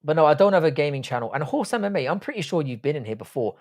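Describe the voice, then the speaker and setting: A man's voice: on the high side, lively intonation, rapid-fire, a little mellow. A man in his forties with a South London accent, hosting a casual live stream, rambling, swearing freely and answering viewers' chat. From South London, England. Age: 40s.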